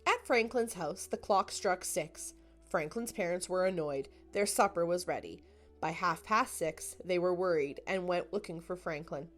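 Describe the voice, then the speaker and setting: A woman's voice: fairly low pitch, normal speed, a bit bright. A woman narrating a children's picture book as a scripted storytime read-aloud.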